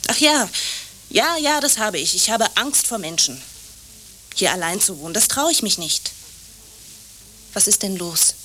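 A woman's voice: medium pitch, animated, unhurried, long pauses, pushed and bright, a little hiss or hum, rough recording. A woman playing an anxious, clinging, lovestruck landlady in a naturalistic radio drama, insistent and repeating her short phrases. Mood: anxious, pleading.

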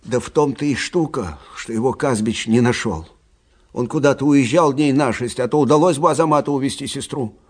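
Man acting older army captain, plain-spoken storyteller, conversational reminiscing, radio drama narration, medium pitch. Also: fairly quick, darkish tone, natural voice.

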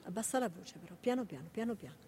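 An actress plays a working-class Neapolitan woman in a radio drama. She is protective and tender but tired, coaxing in a maternal, repetitive way at a middling pitch. There is light noise and ambience behind her. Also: lively intonation, racing, mellow, close to an everyday voice. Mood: hushed urging. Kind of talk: naturalistic radio drama acting in dialect.

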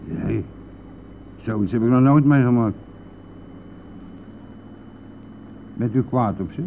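An actor playing a cheeky, nosy, grumbling village bus driver in a radio play, with a teasing, blustering manner. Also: male voice, mid-range voice, expressive, normal speed, vocal fry. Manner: teasing, blustering, chuckling at own jokes. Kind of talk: Dutch radio play acting. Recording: tinny narrow-band old radio recording, vehicle noise.

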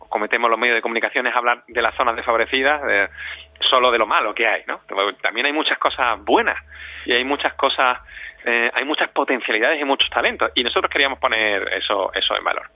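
A man's voice: mid-range voice, no room sound. A man answering interview questions conversationally, reflective and often repeating words as he searches for phrasing. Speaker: interviewee, community radio director. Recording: dull lo-fi sound, dry.

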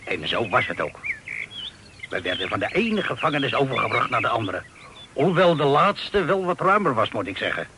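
A man's voice: medium pitch, big pitch swings, normal speed. A man acting a talkative, folksy Dutch sea captain of forty-five in an old radio play. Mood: steady, reassuring. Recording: old radio recording with hiss.

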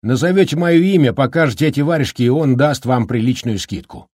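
A man voicing a cheerful, talkative middle-aged snowplow driver who speaks in a brisk, folksy, joking way. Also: medium pitch, lively intonation, darkish tone, no room sound, clean studio sound, pitched above the performer's own voice, lightly acted. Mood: cheery, chatty.

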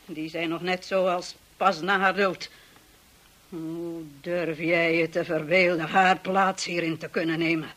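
A bitter, obsessive housekeeper in a radio play. She draws her words out very slowly, with menace and contempt. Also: on the low side, flat delivery, a bit bright. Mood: cold, scornful. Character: hostile, obsessive, grieving housekeeper, a grown woman. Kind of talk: radio drama acting.

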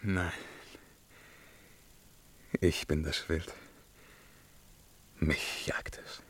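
An actor playing a tormented, obsessed hunter in a German radio verse play, theatrical and declamatory, moving between outcry and whisper. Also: male voice, very deep voice, level tone, extremely slow, fairly bright tone, slightly rough, full character voice. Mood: anguished, haunted. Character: tormented, obsessed male hunter, feverish and driven.

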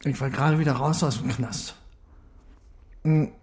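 An actor playing a volatile, desperate ex-convict in a radio crime drama, speaking in halting, clipped fragments. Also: male voice, medium pitch, a little mellow, natural voice. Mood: subdued, evasive. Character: volatile, desperate male ex-convict cornered by police. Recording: studio radio play with music.

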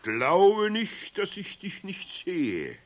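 An actor playing a grumbling old master carpenter with a Bavarian accent, stern and theatrical, in a children's radio play. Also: male voice, high voice, huge pitch swings, exaggerated voice. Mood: mock-stern, threatening. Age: older. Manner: theatrical, slow and emphatic.

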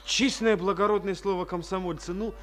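An actor playing a roguish young soldier patient who begs theatrically in a 1950s Soviet radio play. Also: male voice, high-pitched, steady tone, a little fast, fairly bright tone. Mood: wheedling. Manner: theatrical begging with oaths.

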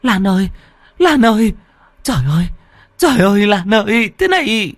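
A man's voice: high-pitched, big pitch swings, normal speed, dry recording, clean studio sound, voice pushed up, full character voice. A man voicing a terrified young woman who screams and stammers in panic in a horror story. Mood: screaming.

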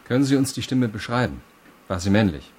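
An actor playing a methodical prosecutor's investigator, formal and measured in his questioning, in a radio crime drama. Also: on the low side, very animated, darkish tone, breathy edge. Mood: formal, probing.

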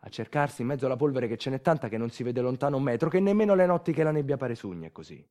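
Animated man telling a dramatic story. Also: medium pitch, very fast. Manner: dramatic, swinging from hushed asides to loud urgency. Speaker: solo stage storyteller, actor. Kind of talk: theatrical narrative monologue.

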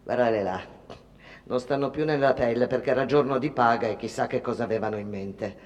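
A woman's voice: a bass voice, fairly quick, a little mellow. An actress playing a fretful, disapproving immigrant seamstress, telling her story in a conversational theatrical monologue. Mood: subdued, ominous. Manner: conversational, repeating words, mimicking others. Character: Italian immigrant factory seamstress, disapproving, fretful mother's confidante. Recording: long reverberant hall.

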